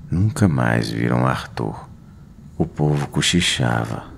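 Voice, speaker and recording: A man's voice: very deep voice, creaky, a little hiss or hum. A Brazilian man telling a scripted horror story, solemn and suspenseful, in short weighted phrases. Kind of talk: dramatic scripted horror narration. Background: music.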